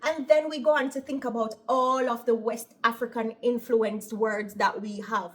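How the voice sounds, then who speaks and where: A woman's voice: on the high side, fairly even intonation, moderate pace, a bit bright. A woman from Jamaica with a Jamaican accent, a nurse chatting casually to her viewers and often asking "right?". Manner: chatty, opinionated, tags lines with "right?". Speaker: operating room nurse. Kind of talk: casual vlog storytelling.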